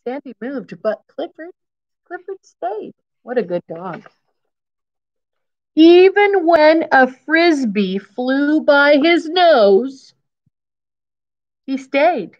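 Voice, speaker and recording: A woman's voice: high voice, sing-song, drawn-out words, pauses often, dull audio. A woman in her fifties reads a picture book aloud to young children, adding simple explanations and repeating key phrases as a teacher does. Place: indoors, school classroom.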